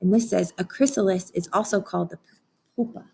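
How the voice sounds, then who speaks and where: Expressive woman reading to children. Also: mid-range voice, creaky, breathy edge, rough recording. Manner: expressive, instructive, with dramatic pauses and hushed asides. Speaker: teacher reading a picture book aloud to children. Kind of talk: children's read-aloud with explanations and questions to listeners.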